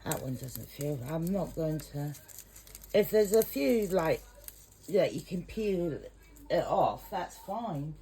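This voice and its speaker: A woman's voice: sits low, expressive, slow pace, mellow. A middle-aged English woman, a home cook, talking in a rambling, chatty, self-conscious way in her kitchen. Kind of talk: casual cooking vlog. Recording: noisy home recording.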